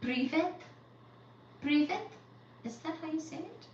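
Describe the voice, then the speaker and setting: Woman in her 30s chatting on a livestream, slow unhurried talk, far from the mic in an echoey room, dull sound. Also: high-pitched, animated, a little mellow, a little hiss or hum. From Central Asia. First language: Uzbek. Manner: reads viewer comments aloud and greets them one by one. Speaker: livestream host. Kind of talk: live-stream chat. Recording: dull, roomy, distant from the mic.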